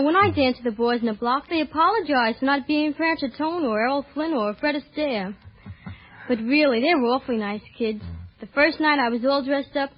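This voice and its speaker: High-voiced teenage girl, 1930s radio guest. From New York City. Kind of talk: scripted 1930s radio interview. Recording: muffled old radio broadcast.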